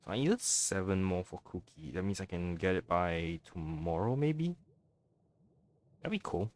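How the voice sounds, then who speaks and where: Low-voiced man streaming a video game. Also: very animated, a little slow, darkish tone. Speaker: live-streaming gamer, host. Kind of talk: casual let's-play commentary.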